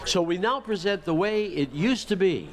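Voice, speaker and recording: A man's voice: high-pitched, lively intonation, normal speed. A man hosting a live stage event, giving introductions in a joking, conversational way. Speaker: event host.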